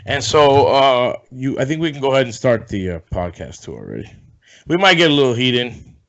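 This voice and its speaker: A man hosting a casual sports podcast, rambling and loose, swearing offhand, a bit hoarse as if coming down with something. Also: mid-range voice, very animated, a little fast, a bit bright. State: losing his voice, feeling sick. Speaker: podcast host. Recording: dull lo-fi sound.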